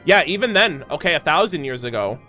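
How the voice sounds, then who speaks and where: A man's voice: fairly high pitch, sing-song, a little fast, sharp tone. A man giving casual, off-the-cuff commentary as a gaming content creator, rambling as he thinks aloud. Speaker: video game reaction content creator. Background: background music.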